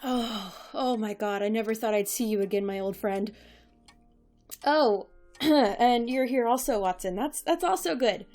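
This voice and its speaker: A woman acts an irreverent young director in an audio drama. She is wry and sarcastic in a casual way, her voice a little high, with faint music behind. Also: a little slow, darkish tone, lightly acted. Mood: casual, evasive.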